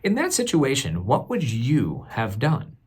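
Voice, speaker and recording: A man reading a picture book aloud like a teacher to children, expressive and deliberate, pausing to put questions to young listeners. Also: mid-range voice, slightly rough.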